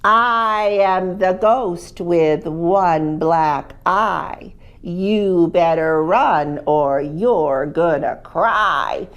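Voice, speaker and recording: A woman storyteller voicing a spooky male ghost who chants his lines slowly and drawn out. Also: on the low side, dull audio. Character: spooky slow-talking male ghost in the cellar.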